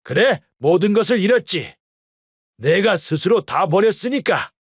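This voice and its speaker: A man's voice: sits high, very animated, measured pace, breathy edge, no room sound, muffled recording, full character voice. A man doing a cold, stern father-in-law. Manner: cold, curt, commanding.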